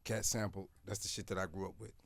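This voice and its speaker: A man's voice: sits low, steady tone, fast, gravelly, no room sound. An older man who grew up in Alabama, a guest talking in an easygoing, hesitant and self-deprecating way. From grew up in Tuskegee, Alabama. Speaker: guest, music producer. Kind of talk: casual reminiscing conversation.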